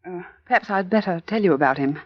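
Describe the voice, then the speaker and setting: A dry, close female voice with lively intonation, a daring wartime resistance helper. She comes across as gentle and earnest yet quietly insistent, in the manner of old-time radio drama. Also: lowish voice, quick pace, no echo, lo-fi sound. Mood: quietly determined.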